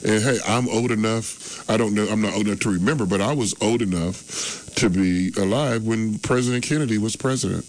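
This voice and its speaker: A man's voice: lowish voice, brisk, few pauses, low-quality recording. Rambling man in his sixties. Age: 60s. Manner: rambling, repeats himself, animated swings. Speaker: sports show regular. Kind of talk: casual sports talk.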